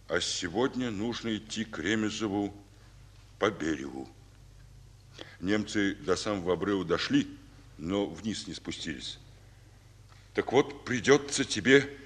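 In a long-reverb old radio recording, a fatherly, worn-out Soviet general speaks. His voice is low and husky, measured and confiding, with the weight of command. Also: a bit bright, full character voice. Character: weary, fatherly male Soviet army general. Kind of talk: Soviet radio drama acting.

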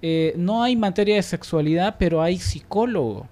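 A man's voice: sits high, expressive, normal speed, darkish tone. A young man, hosting an informal on-air discussion, giving opinions with stumbles and repeated words. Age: young adult. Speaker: radio discussion host.

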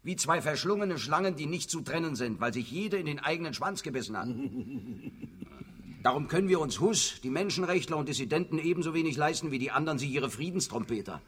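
A man's voice: on the high side, expressive, fairly quick, fairly bright tone, breathy edge. An actor playing a brash, cynical communist party boss in a radio play, blunt and sardonic as he speechifies. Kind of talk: theatrical German radio play acting.

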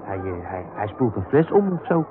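An actor playing an easygoing, slow-witted sidekick in a radio comedy, hesitant and deadpan with many halting ehs. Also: male voice, lowish voice, some chatter behind. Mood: deadpan, indifferent. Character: laconic, easygoing male sidekick, slow to notice. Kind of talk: radio comedy serial acting. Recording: tinny narrow-band radio recording, noisy outdoor ambience.

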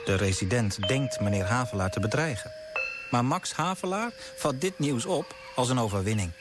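A man's voice: mid-range voice, animated, normal speed, music in the background, close to an everyday voice. A man acting as the matter-of-fact narrator of a Dutch radio play, reading scripted storytelling.

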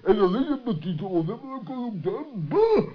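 A man's voice: high-pitched, big pitch swings, brisk, breathy edge, voice pushed up, exaggerated voice. A man voicing a sulky, jealous badger who whines and muddles his words in a children's radio play. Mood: sulky, whining. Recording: dull old radio recording.

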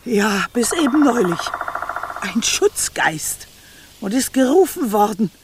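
Slow, muttering old witch. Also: on the high side, very animated, background noise. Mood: gloating. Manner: slow, muttering, self-satisfied, incantatory. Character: old scheming witch grandmother, muttering and gloating. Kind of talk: German radio play acting.

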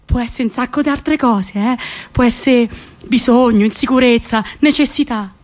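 A woman acts out a tender old grandmother from a village, with a central Italian accent. Her voice sits high as she tells theatrical memories in rustic, plainspoken, reflective phrases. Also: moderate pace, a little mellow, natural voice. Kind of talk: theatrical monologue storytelling.

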